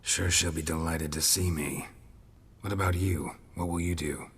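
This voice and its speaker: A man playing a gruff, laconic monster hunter in a video game, terse and deadpan with dry sarcasm. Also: low-pitched, normal speed, big-room sound. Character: gruff, laconic, dryly sardonic male monster-hunting warrior. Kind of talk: understated video game acting.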